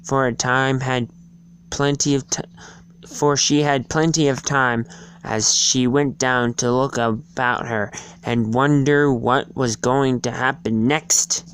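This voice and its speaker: A person giving an amateur dramatic reading of a storybook, halting and stumbling over the words. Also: medium pitch, steady tone, fairly bright tone, clear, resonant tone. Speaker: reader.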